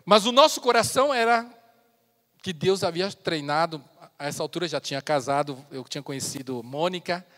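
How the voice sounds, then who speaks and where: A man in his fifties from China, giving an earnest personal testimony as a missionary speaker in a church, with pauses and restarts. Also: on the high side, lively intonation, fairly bright tone. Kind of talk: testimony sermon. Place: church sanctuary. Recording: long church hall reverb.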